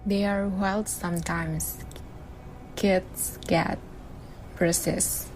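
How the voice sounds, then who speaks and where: A woman's voice: lowish voice, fairly even intonation, very slow, darkish tone, slight background noise, poor audio. A woman in an amateur radio drama playing a mother, speaking in a guarded, curt way.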